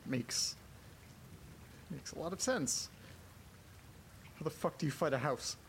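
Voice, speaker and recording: A man playing a frightened character trapped in a haunted house, muttering to himself haltingly and swearing in a horror audio drama. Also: fairly high pitch, creaky, a little hiss or hum. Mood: despairing.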